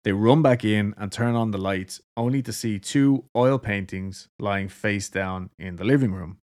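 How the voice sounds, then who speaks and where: A man's voice: lowish voice, unhurried, a little mellow. A man with an Irish accent casually telling a story to a co-host, laughing often and swearing freely. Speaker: podcast co-host.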